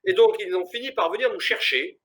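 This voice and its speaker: A man's voice: high voice, expressive, a little fast, fairly bright tone. A man from France speaking on a business panel, emphatic, building his points as lists. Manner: emphatic, list-like rhetoric. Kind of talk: conference panel talk.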